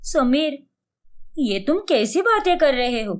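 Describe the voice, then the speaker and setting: A woman's voice: high voice, very animated, slow pace, heavily acted. A woman playing a young wife in a dramatized story, speaking with emphatic emotion. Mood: dismayed.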